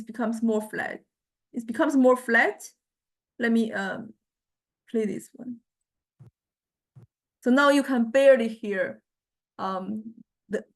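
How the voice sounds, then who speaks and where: A woman giving a step-by-step software tutorial as a lecturer, hesitant and halting, often checking in with listeners. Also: fairly high pitch. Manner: hesitant, halting delivery with repeated check-ins.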